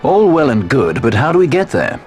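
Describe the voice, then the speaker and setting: An actor playing a suave, sardonic sky pirate in a fantasy video game, dry and urbane. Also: male voice, mid-range voice, very animated, fairly quick, darkish tone, faint music behind, natural voice. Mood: cool, questioning. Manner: dry, urbane, lightly mocking. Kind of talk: dramatic video game voice acting.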